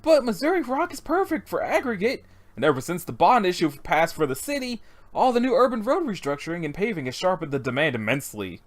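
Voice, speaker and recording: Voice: mid-range voice, sing-song, fast talker, voice pushed up, natural voice. A reader voicing an enthusiastic, wordy quarry businessman who talks like a salesman. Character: eager, long-winded quarry businessman.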